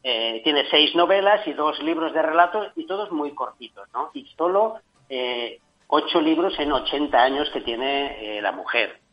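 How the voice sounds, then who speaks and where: A man's voice: a little high, expressive, unhurried, lo-fi sound. Enthusiastic man reviewing a book. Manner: enthusiastic, frequent restarts. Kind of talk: casual radio book chat.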